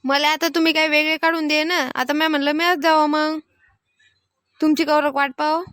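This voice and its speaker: A woman's voice: sits high, level tone, moderate pace, fairly bright tone, dry recording, studio quality. A woman voicing a fed-up, stubborn village daughter-in-law in a cartoon comedy, defiant and insistent, with a rural Maharashtrian accent. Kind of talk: animated family comedy acting.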